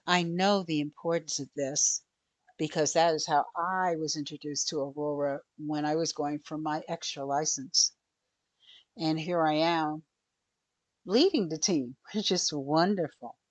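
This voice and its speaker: Lady presenting her volunteer role, low voice, takes it slow. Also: fairly even intonation, no room sound. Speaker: volunteer examiner team leader. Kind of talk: prepared presentation.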